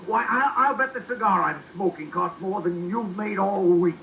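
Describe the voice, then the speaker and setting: A man's voice: sits high, moderate pace, sharp tone. An actor playing a scornful, pompous middle-aged store owner and father in a 1940s radio comedy. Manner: pompous, indignant blustering. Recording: echoey, distant, noisy old radio recording.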